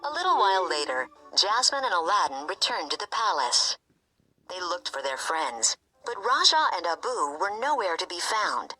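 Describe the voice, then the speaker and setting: Woman reading a kids story, lowish voice but bright, music underneath. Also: normal speed, low-quality recording. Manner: animated, expressive. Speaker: storybook reader. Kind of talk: scripted children's story narration.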